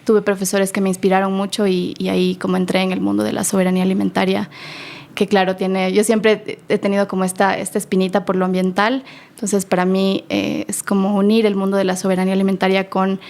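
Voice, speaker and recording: A young woman who talks as a guest on an interview show, warm and halting, with many fillers. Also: mid-range voice, flat delivery, gravelly. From Ecuador. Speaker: guest, activist for pollinators. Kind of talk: interview answers.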